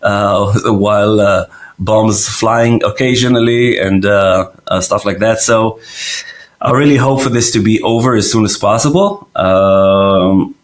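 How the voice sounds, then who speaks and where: Hesitant man from Israel, low voice. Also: a little slow. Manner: hesitant, many fillers and restarts. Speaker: company founder, guest. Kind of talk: casual interview answers.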